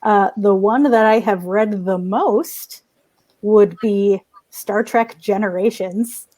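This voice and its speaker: A woman talking casually as a fan panelist, halting and then repeating points for emphasis. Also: medium pitch, fairly even intonation, darkish tone. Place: remote online panel recorded at home.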